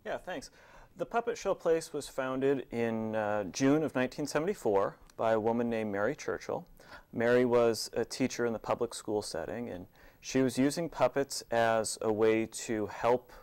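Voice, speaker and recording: Male American interview guest, theater director, medium pitch, explanatory conversational delivery. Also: moderate pace, darkish tone. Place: TV studio.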